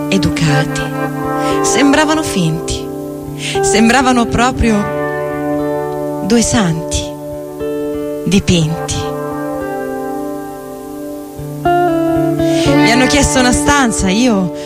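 A woman giving a theatrical spoken-word reading as a weary, disillusioned porter at a seedy hotel bar. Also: medium pitch. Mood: wondering. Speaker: stage reader. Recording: loud guitar music under voice. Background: plucked guitar music.

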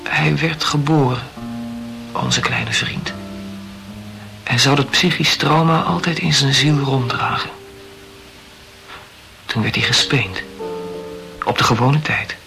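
An actor playing a brooding, wryly morbid male poet in a Dutch radio play, speaking reflectively and haltingly in a gravelly voice. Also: mid-range voice, sharp tone, low-quality recording. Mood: wry, mocking. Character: brooding, self-absorbed male poet, wryly morbid about his youth.

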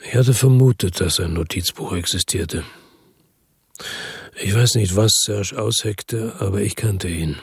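Husky, brooding noir narrator. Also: male voice, on the low side, a little slow, dry recording, clean studio sound, full character voice. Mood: grave, reflective. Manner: terse, reflective, understated. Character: weary, brooding, hard-boiled male crime-story narrator. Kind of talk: first-person radio drama narration.

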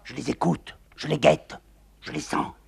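A man's voice: a little high, a little slow, creaky, a little mellow, breathy edge, voice pushed up, exaggerated voice. A man voicing a hysterical older woman, a general's jealous wife, who shrieks and raves frantically. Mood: raving.

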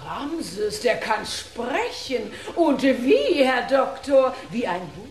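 A sadistic villain's henchwoman in a radio play, high and slow. She coos and gloats with cruel relish, her lively voice ringing in a roomy space. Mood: marvelling. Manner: cooing, gloating, deferential to her master. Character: sadistic, gleeful female henchwoman with a knife. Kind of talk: German radio drama acting.

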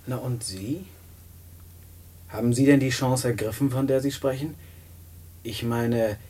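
An actor playing an earnest, hard-up young student in prison in a radio drama, hesitant, polite and naive. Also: male voice, mid-range voice, steady tone, a little slow, warm tone, slightly rough. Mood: indignant, curious. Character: earnest, hard-up nineteen-year-old male student in prison. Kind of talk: post-war German radio play dialogue.

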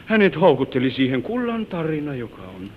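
An older man acting a gentle, wistful grandfather who tells his life story and moralizes to his granddaughter in an old-time radio drama. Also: on the high side, sing-song. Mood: reminiscing. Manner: tender, wistful, moralizing, slightly solemn. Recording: tinny, noisy old radio broadcast recording.

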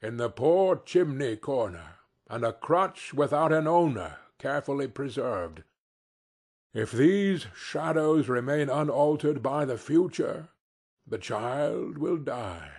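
A man voicing a solemn male spirit who speaks slowly and reprovingly. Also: medium pitch, animated, darkish tone, slightly rough, exaggerated voice. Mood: grave. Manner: slow, grave and reproving. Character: solemn male Christmas spirit, stern and grave.

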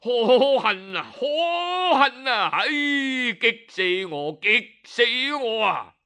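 Guy putting on a sputtering angry young scholar, pitch shoots way up, stutters with rage. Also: sing-song, extremely slow, exaggerated voice. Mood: bitter. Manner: stammering, shouting in fury. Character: enraged young male scholar, humiliated and proud.

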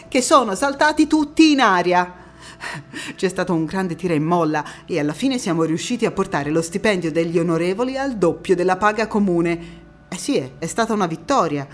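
An actress playing a proud, spirited young female parliamentarian recounting memories in an expressive, emphatic stage monologue. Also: mid-range voice, lightly acted. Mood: lively, amused. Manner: expressive, deliberate, emphatic phrasing. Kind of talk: theatrical first-person monologue.

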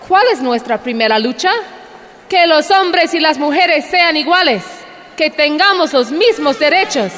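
An actress playing an earnest, militant feminist orator in a radio drama, speaking declamatorily in a reverberant hall. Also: female voice, high voice, fairly bright tone, full character voice. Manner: declamatory, rhetorical. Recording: long hall reverb, faint music.

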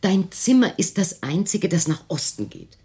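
A woman acting in a radio play as an anxious, weary elderly mother, placating and protesting with a husky, breathy voice. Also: lowish voice, animated, normal speed, dark tone. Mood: agitated, defensive. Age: older. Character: anxious, weary elderly mother soothing her troubled daughter.

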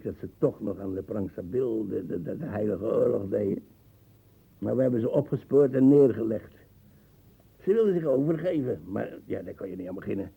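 A brutal old colonial veteran in a radio play. His voice is low, husky and very mellow in tone. He rambles coarsely and boastfully, groping for words. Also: low-quality recording. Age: older. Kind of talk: radio drama acting, monologue reminiscence.

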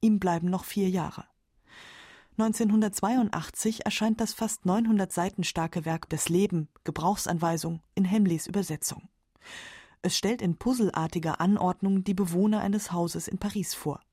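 A woman's voice: medium pitch, steady tone, normal speed, mellow, breathy edge, no room sound, studio quality. A woman narrating a scripted radio documentary in a composed, factual way.

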